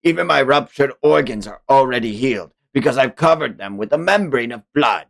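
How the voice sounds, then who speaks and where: A man's voice: medium pitch, animated, brisk, vocal fry, a bit bright. A man voicing a cocky, bloodthirsty fantasy fighter in a taunting, gleeful, theatrical comic read-aloud. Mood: smug gloating.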